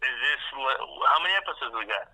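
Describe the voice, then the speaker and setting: A man's voice: medium pitch, lively intonation, fairly quick, bright, forceful tone. A man calling in by phone to co-host a podcast, joking and swearing casually. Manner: joking, profane. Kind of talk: casual chat. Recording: tinny phone line.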